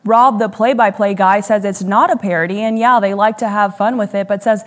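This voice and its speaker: Young female news reporter, medium pitch, scripted delivery, studio sound. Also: fairly even intonation, a little fast.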